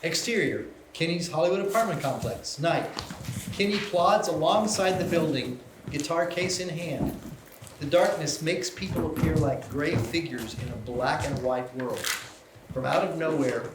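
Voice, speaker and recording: A man narrates screenplay directions at a live table read. His voice is fairly high for a man, in a roomy, echoing space with clear background noise. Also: a little slow. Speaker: narrator reading stage directions. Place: live event room.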